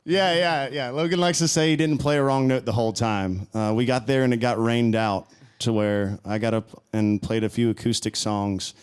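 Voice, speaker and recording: A smooth, clear-voiced man in his thirties from Florida talks as an interview guest. He tells stories in an easygoing way, with light laughs, at a middle pitch. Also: steady tone, moderate pace, hardly any pauses. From High Springs, Florida, US. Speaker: country musician guest. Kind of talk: casual interview chat.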